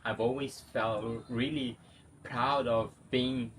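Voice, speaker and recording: A man's voice: medium pitch, steady tone, slow, a little hiss or hum. A man from Brazil with a Brazilian accent, speaking English as an interview guest, chatty and spontaneous with many fillers and restarts. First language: Portuguese. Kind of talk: casual interview.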